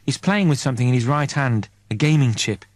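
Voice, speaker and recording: A man's voice: mid-range voice, animated, a little fast, no echo. A man narrating a story in the present tense as a dramatic audiobook reader.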